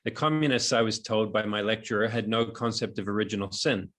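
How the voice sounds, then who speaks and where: Man with a soft New Zealand accent reading his talk. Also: on the low side. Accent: New Zealand, softened toward transatlantic. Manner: hesitant and chatty at the start, then reads measured prepared text. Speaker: webinar speaker. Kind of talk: reflective prepared talk on a webinar. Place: room in his house overlooking a lough. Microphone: video call from home.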